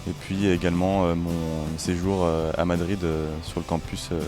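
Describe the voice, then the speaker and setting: Very deep, flat-voiced young man. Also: over music. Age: 20s. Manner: halting, many fillers. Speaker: business school student. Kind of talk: interview answers.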